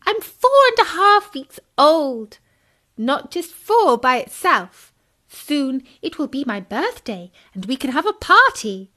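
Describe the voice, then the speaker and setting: A woman voicing a tiny excited tadpole boy who squeals with joy and begs through sobs. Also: high voice, slow, pitched above the performer's own voice, exaggerated voice. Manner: squealing, pleading, sobbing.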